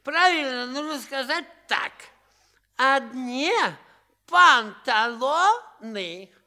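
An actor playing a fussy, muddled, childish clown minister in a comic fairy-tale radio play. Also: male voice, very high-pitched, lively intonation, drawn-out words, pushed and bright, heavily acted. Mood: muddled, bossy.

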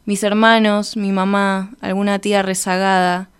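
A woman tells a personal story in a literary style. Her voice is smooth and clear, at a medium pitch. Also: level tone, normal speed.

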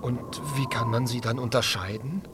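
A man's voice: mid-range voice, fairly even intonation, normal speed, noisy background, natural voice. A man playing a timid, dreamy young piglet in a children's radio play, speaking hesitantly and full of wonder.

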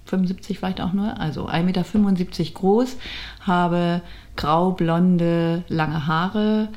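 Woman talking slow and low, stops and fixes herself, kinda unsure. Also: fairly even intonation, a little mellow. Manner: hesitant, self-correcting. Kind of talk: personal self-description.

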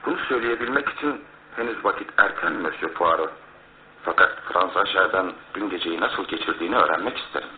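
An actor playing a methodical, cautious police inspector in a radio drama, reporting in a formal, measured way. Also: male voice, deep voice, natural voice. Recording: phone-like lo-fi radio broadcast, faint noise.

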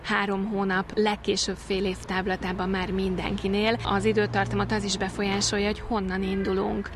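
A woman's voice: medium pitch, flat delivery. A woman explains things as a dietitian in an interview, in long, detailed sentences with examples.

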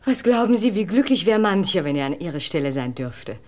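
An actress playing a seductive, mocking stage star in a radio play, with a teasing, imperious and dramatic way of talking. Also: female voice, lowish voice, sing-song, fairly quick, darkish tone.

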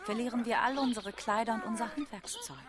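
Eine Schauspielerin spielt in einem deutschen Hörspiel eine geduldige, beruhigende Mutter. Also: female voice, a little high, a little fast, close to an everyday voice. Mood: patient, soothing. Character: patient, reassuring mother of hungry children. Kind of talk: German radio drama acting.

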